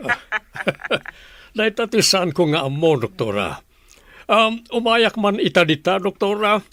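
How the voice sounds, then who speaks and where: A man's voice: high voice, animated, measured pace, fairly bright tone, slight background noise. A man voicing a blunt, complaining grown grandson in a radio drama. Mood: grateful. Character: grown grandson, resentful and demanding. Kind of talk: Ilocano radio drama acting.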